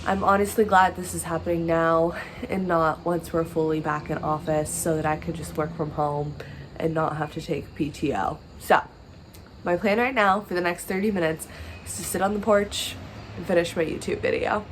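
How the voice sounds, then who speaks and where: A woman's voice: fairly low pitch, flat delivery, moderate pace, a little hiss or hum, poor audio. Chatty young woman with a stuffy nose. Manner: chatty, upbeat, lots of "like" fillers. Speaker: vlogger. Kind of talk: casual vlog chat to camera.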